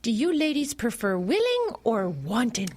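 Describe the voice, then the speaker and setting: A woman's voice: fairly high pitch, huge pitch swings, normal speed, darkish tone, a little hiss or hum. A woman playing an eager, polite, free-spirited older lady in an audio comedy drama. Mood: pleased.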